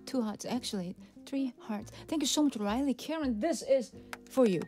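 Korean-accented female livestream host, 30s, chatty and soft, studio mic in a cozy room, faint piano. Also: fairly high pitch, animated, moderate pace. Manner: chatty, laughs often, soft and friendly. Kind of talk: casual chat with viewers, reading donations. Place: cozy home music room with Christmas decorations. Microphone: studio condenser mic on stand.

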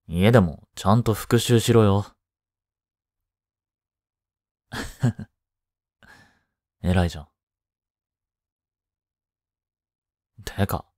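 A young man playing a blunt, teasing but caring studious high-school boy in an intimate audio drama, speaking casually. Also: no echo, clean studio sound, lightly acted. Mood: relaxed, teasing. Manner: casual, curt, softly teasing. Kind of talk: intimate Japanese boyfriend-roleplay audio drama.

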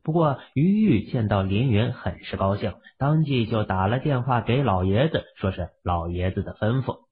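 A man's voice: on the low side, lively intonation, normal speed, husky. A man narrating a novel as a scripted dramatic audiobook. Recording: muffled, phone-like, lo-fi audio.

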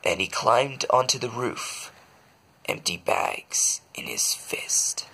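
A man reading a children's bedtime story aloud, expressively, with dramatic pauses. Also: medium pitch, animated, gravelly, sharp tone, husky, in a whisper. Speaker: storybook reader.

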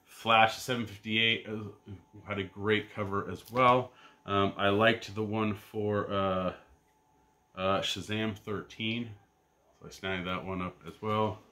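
A man in his thirties giving a casual, rambling rundown of his weekly comic picks from a shelf-lined room at home, full of ums and uhs and trailing off quietly. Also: fairly low pitch, steady tone, slow. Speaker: comic book vlogger. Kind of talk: casual pull-list rundown.

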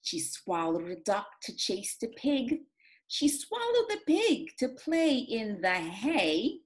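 A woman in her forties teaching young children in an expressive, encouraging, sing-song way, as in a read-aloud and craft lesson. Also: on the high side, slow pace. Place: small indoor home classroom. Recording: roomy, echoey home room sound.

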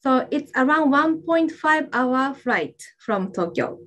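A young woman with a Japanese accent, an admissions officer giving a friendly, halting presentation with fillers over a video call. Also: sits high, lively intonation, a bit bright. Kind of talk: online information session presentation. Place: indoors, virtual campus backdrop.

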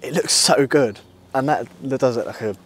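A man's voice: medium pitch, normal speed. A British man in his thirties chatting casually to camera as a vlog host, musing in an easygoing, enthusiastic way. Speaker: motorcycle reviewer, channel host. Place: outdoors at a table outside a country pub. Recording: clear outdoor ambient and vehicle noise.

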